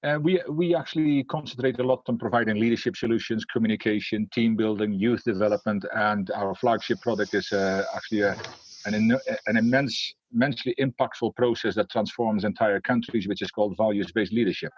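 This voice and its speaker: A man's voice: lowish voice, fairly even intonation, a little fast, breathy edge, no echo, dull audio. A middle-aged man with a Dutch accent tinged by Scotland, a leadership coach talking in an interview, hesitant with many fillers and restarts. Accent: Dutch, with Scottish influence. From Netherlands. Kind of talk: casual interview talk.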